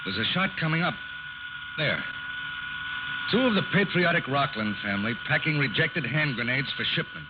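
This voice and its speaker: An actor playing a steady, determined federal agent in a 1940s radio drama, terse and assured. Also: male voice, mid-range voice, normal speed, long pauses, natural voice. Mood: satisfied, firm. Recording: muffled, very noisy 1940s radio recording.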